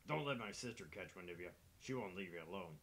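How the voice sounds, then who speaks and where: Man voicing a gravelly, cheeky monster hunter. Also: sits low, lively intonation, fast, a bit bright, slight background noise. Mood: cheeky, friendly. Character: cheeky, laddish British monster hunter tour guide.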